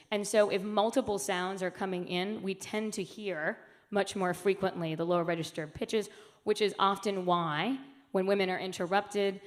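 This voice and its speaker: A woman speaking earnestly at a conference, with emphatic phrasing and frequent fillers. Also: medium pitch. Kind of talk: keynote talk. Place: conference hall.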